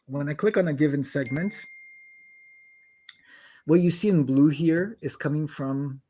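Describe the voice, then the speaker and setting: Chatty man demoing software. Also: fairly high pitch, measured pace, slightly rough. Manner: chatty, self-deprecating, many restarts. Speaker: host demoing translation software. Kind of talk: casual live demo talk.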